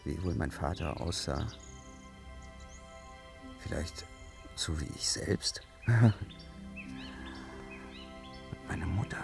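Young brash hero, headstrong, theatrical, fantasy audio play, slow phrasing, music clearly underneath. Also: male voice, very deep voice, full character voice. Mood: wistful. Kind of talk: fantasy radio play acting.

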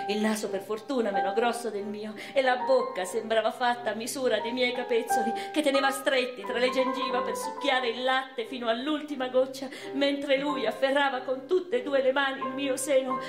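A woman's voice: sits high, natural voice. An actress playing a grieving, passionate eighteenth-century noblewoman poet who recounts her life in an intense, theatrical radio monologue. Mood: tender, fervent. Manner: theatrical, intense, swinging from hushed sorrow to anguished outcry. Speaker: stage actress. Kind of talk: dramatic radio theatre monologue, first-person literary narration. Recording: roomy echo, faint music behind.

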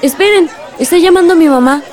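A high-voiced young woman, eager and anxious, in a radio soap. She speaks earnestly as a worried daughter and granddaughter, with faint chatter behind her. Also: fast talker, a little mellow. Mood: urgent, earnest. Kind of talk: radio drama acting.

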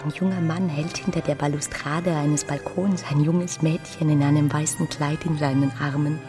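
A woman playing a dreamy, devout teenage girl hungry for romance in a literary radio play, speaking in a hushed, intimate way that slips into whispers. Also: sits low, steady tone, moderate pace, close to an everyday voice. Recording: music behind the voice. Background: music.

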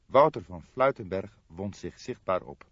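A man's voice: sits low, lively intonation, measured pace, breathy edge, no room sound, muffled recording. A man reading a crime story as scripted audiobook narration.

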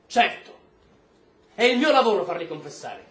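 An actor playing a volatile, domineering army officer and husband in a stage-style drama, coarse and contemptuous. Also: male voice, high-pitched, very animated, moderate pace. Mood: defensive, scornful. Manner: coarse, contemptuous, erupting into shouts. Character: volatile, bitter, domineering military husband, prison camp officer. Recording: roomy echo, faint noise.

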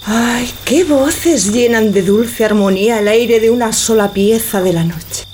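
A woman's voice: on the high side, unhurried, darkish tone, slight background noise, lightly acted. A woman playing a sensual, dreamy young wife in love in an audio drama, with a rapturous, lyrical delivery. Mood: rapturous longing. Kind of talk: theatrical audio drama acting.

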